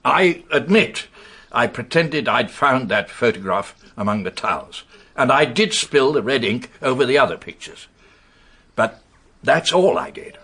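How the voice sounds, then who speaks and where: An actor playing an evasive, indignant British gentleman under questioning in a radio drama, sardonic and defensive in an articulate way. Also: mid-range voice, very animated, measured pace, breathy edge, heavily acted. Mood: resigned.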